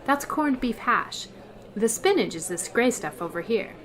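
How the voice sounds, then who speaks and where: A woman's voice: fairly high pitch, expressive, a little slow, a bit bright, soft background music. A woman playing a long-suffering schoolteacher in an old-time radio comedy, with dry, sarcastic delivery. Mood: exasperated, dry.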